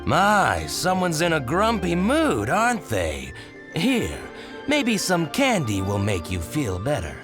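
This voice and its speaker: A man playing a playful, smooth-talking small-time merchant in a video game, teasing and theatrical. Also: on the high side, huge pitch swings, takes it slowly, full character voice. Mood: amused, coaxing. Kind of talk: video game character acting. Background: game background music.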